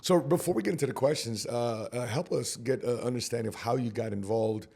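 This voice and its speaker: Fast-talking husky male podcast host. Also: medium pitch, warm tone. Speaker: podcast host and insurance agency founder. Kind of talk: hyped podcast introduction and casual interview.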